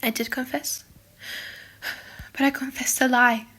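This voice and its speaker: Lady doing a condemned young servant girl from an audiobook, high bright voice, pious, wretched, pleading. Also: flat delivery, measured pace, exaggerated voice. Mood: anguished, sobbing. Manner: sobbing, pleading, breathy outbursts. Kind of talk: dramatic audiobook character acting.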